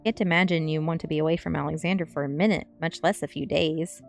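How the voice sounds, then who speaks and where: Gentle, reminiscing mom, low voice, read by a woman. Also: fast talker, gravelly, faint music behind. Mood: fond. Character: supportive, reminiscing middle-aged mother.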